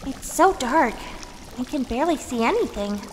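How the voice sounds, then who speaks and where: A woman's voice: high voice, expressive, normal speed, background noise. A woman voicing a spirited, caring young hedgehog heroine in an amateur cartoon dub, sassy and emotional. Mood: curious. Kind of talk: amateur comic dub acting. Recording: long reverb, faint music behind.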